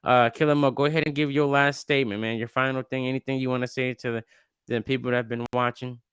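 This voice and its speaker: A man's voice: medium pitch, flat delivery, quick pace, fairly bright tone, clean tone, no echo. Laid-back young man hosting a podcast. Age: 20s. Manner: laid-back, slangy, rambling with restarts. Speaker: podcast host. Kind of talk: casual interview chat.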